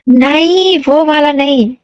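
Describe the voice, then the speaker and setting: A woman's voice: high voice, slow pace. A young woman chatting casually and intimately on a phone call, coy, often answering with short hums. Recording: dull lo-fi phone call recording, roomy.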